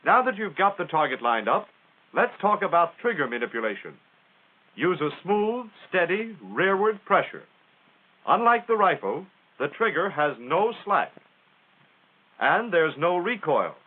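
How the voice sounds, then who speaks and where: A man's voice: sits high, very animated, measured pace. An American man narrating a 1940s military training film in a plain-spoken, instructional way with folksy phrasing. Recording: tinny, band-limited 1940s film soundtrack.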